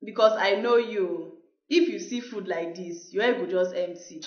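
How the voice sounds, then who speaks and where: A woman's voice: medium pitch, moderate pace, natural voice. A woman with a Nigerian accent playing a young village woman in a Pidgin radio drama. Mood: teasing. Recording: muffled, roomy, music behind.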